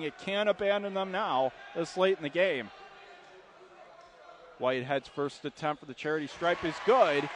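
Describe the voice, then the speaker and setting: A man doing live radio play-by-play of a basketball game as the announcer, describing the action in short clipped phrases. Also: high voice. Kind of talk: live radio sports commentary. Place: indoor basketball arena. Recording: noisy live gym, bouncing ball behind. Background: loud game ambience, basketball bounces.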